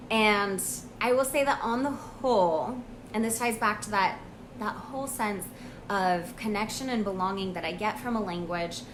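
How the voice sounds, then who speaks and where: A woman's voice: medium pitch, noisy background. Earnest American woman musing on camera. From United States. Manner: earnest, reflective, with pauses and fillers. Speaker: language coach. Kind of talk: casual vlog monologue.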